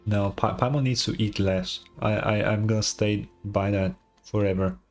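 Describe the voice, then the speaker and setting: A man's voice: lowish voice, fairly even intonation, normal speed, faint music behind. A man giving casual, laid-back reaction commentary as a game streamer, with short dry interjections.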